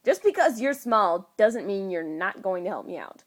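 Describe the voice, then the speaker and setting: A woman's voice: medium pitch, animated, moderate pace, lightly acted. A woman voicing an adult male genius playboy who is flippant, curt and sweary in a storybook reading. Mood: dry, curt.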